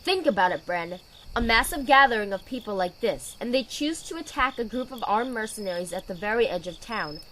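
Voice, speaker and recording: A woman playing a dutiful, weary knight commander in an audio drama, speaking in a measured, authoritative way. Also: a little high, expressive, fairly bright tone, slight background noise, rough recording. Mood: pondering.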